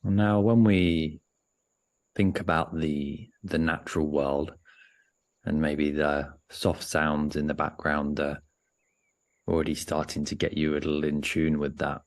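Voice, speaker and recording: A man with an extremely low voice guides a meditation. His intonation stays very level and even. He speaks gently and without hurry, leaving frequent pauses partway through his sentences. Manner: gentle, unhurried, pausing often mid-sentence.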